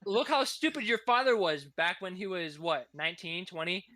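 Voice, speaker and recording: A man's voice: high-pitched, normal speed, sharp tone, no room sound. A young man in a casual group podcast, goofy and playfully confused. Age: young adult. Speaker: podcast co-host.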